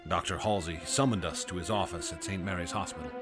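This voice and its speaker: Radio-drama narrator-lead, a man: earnest young student, low and steady. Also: over loud music, natural voice. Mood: reflective. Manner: earnest, measured storytelling.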